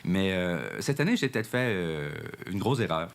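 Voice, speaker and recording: A man speaks in a fairly low voice. His intonation swings a lot as he thinks aloud about casting, pausing on fillers. This is a drama teacher in a documentary interview. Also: very animated, a little hiss or hum. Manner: reflective, hesitant, with many fillers.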